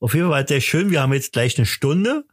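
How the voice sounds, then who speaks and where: A man's voice: fairly high pitch, lively intonation, fast talker, no echo, studio quality. A middle-aged man hosting a casual podcast, rambling and teasing, slightly tipsy. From Germany. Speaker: podcast host. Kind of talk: casual chat.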